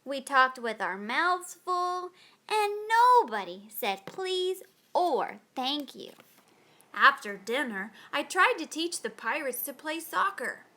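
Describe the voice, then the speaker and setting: A woman reading a picture book aloud to children in a dramatic, expressive storytelling style. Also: high-pitched, takes it slowly.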